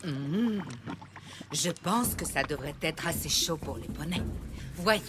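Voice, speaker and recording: A woman voicing a calm, mysterious zebra enchantress in a French cartoon dub, musing in measured phrases. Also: low-pitched, over music.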